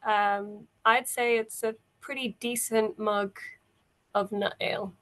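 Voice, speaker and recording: A woman improvising narration as game master of a tabletop game, in a halting, conversational way. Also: mid-range voice, level tone, a bit bright.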